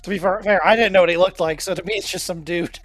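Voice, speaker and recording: A player in a casual tabletop game podcast, firing off quick questions and sarcastic jokes. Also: medium pitch, fairly even intonation, fairly bright tone, no room sound, soft background music.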